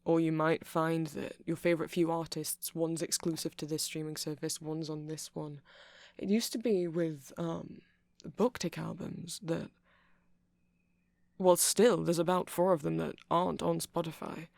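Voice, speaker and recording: Nerdy, earnest vampire male performer, soft-spoken and chatty ASMR delivery, gravelly fry, very dry sound. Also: on the high side, flat delivery, measured pace, no echo. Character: nerdy, chatty vampire boyfriend who rambles about music. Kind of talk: ASMR roleplay ramble.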